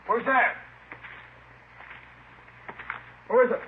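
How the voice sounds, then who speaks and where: An American actor playing a weary, cornered fugitive convict in an old radio crime drama. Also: male voice, very high-pitched, fast talker. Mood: alarmed. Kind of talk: 1950s radio drama acting. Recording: narrow-band, hissy old radio recording.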